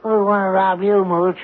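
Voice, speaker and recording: An actor playing a shrewd, impatient coin dealer in a radio drama, needling and dryly sarcastic. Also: male voice, high voice, narrow, tinny audio. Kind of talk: 1970s radio drama acting. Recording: band-limited, slightly noisy old radio broadcast.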